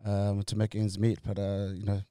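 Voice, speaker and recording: A man in his forties from Tonga, telling his story as an interview guest in a halting way, full of fillers and restarts, laughing easily. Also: fairly low pitch, completely flat delivery, warm tone, dry recording. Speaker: guest, company co-founder. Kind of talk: casual interview chat.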